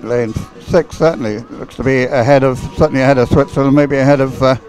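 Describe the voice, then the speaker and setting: Male race commentator, average pitch, understated, searching delivery, live sports call. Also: steady tone, fast, darkish tone, faint music behind, poor audio.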